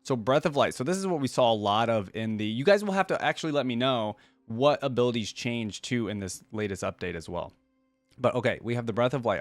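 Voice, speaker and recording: A man's voice: medium pitch, no room sound. A man hosting a reaction video, giving casual, informal commentary like an enthusiastic fan.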